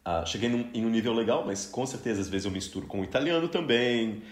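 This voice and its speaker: A man's voice: medium pitch, fast, reverberant. A man in his thirties from Brazil hosting a casual interview, chatty, with frequent restarts and easy laughter. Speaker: podcast host interviewing a guest.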